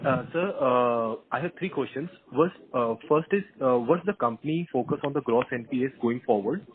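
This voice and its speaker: A man with an Indian accent politely asking questions as an analyst on an earnings call, halting and repeating words. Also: mid-range voice. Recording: tinny phone line with faint noise.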